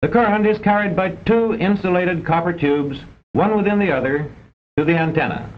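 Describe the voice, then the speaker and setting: A man reads scripted narration for an old educational film in a formal, precise, didactic way, on a vintage film soundtrack. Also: moderate pace. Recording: dull vintage film soundtrack with rumbling noise.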